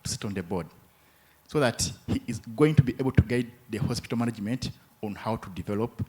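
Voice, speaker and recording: A man from Uganda who works in hospital administration, speaking from the floor at a conference in a formal, measured, methodical way. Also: fairly low pitch, a little mellow, slightly rough. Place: conference room.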